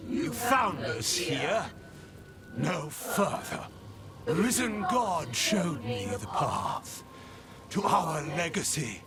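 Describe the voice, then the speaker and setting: A man voicing a menacing, zealous cult blood mage speaking through a possessed body, grandiose and deliberate in a dramatic video game. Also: on the high side, gravelly, heavily acted. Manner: grandiose, theatrical, slow and deliberate. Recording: loud music under the voice.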